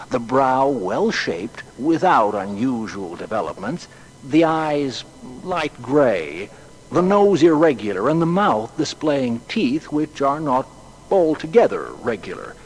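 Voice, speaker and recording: An actor playing an observant newspaper reporter who reads out a descriptive portrait in a deliberate, theatrical style. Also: male voice, medium pitch, slight background noise, rough recording. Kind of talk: dramatic scripted reading.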